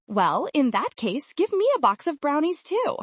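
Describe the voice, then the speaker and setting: Woman acting angry female bakery customer, entitled, accusing, high animated voice, story narration. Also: normal speed, no echo. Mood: demanding. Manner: indignant, accusing.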